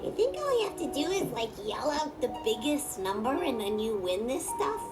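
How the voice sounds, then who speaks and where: A woman voicing a spunky, cheeky little girl racer in an animated film, perky and informal. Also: sits high, moderate pace, distant mic, over music. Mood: eager.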